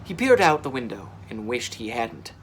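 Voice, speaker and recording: A man reading a children's story aloud in an expressive, dramatic storytelling manner. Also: medium pitch, moderate pace, a little hiss or hum, rough recording. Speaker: storytime reader. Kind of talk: animated children's audiobook-style storytelling.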